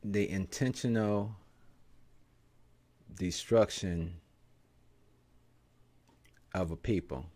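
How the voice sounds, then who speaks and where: A man's voice: lowish voice, very slow, pauses often, darkish tone. A man hosting a show, giving impassioned commentary with emphatic rhetorical questions. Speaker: show host.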